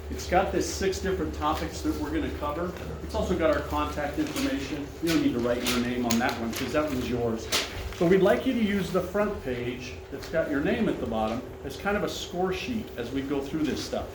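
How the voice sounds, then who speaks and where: A man's voice: on the high side, lively intonation, a little fast, few pauses. Male seminar presenter, big carrying voice, chatty and welcoming; recorded from a distance in a reverberant dining room with background noise. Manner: friendly, conversational, invites questions. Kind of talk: presentation to a seated audience. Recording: echoey room, mic far off, noisy.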